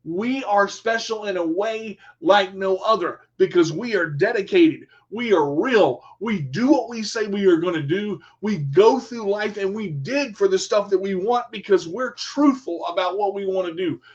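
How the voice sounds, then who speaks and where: Emphatic high-voiced man hosting radio. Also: moderate pace, hardly any pauses, slightly rough, dull audio. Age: adult with a son. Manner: emphatic, repetitive, talks straight to listeners. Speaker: radio show host. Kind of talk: motivational monologue.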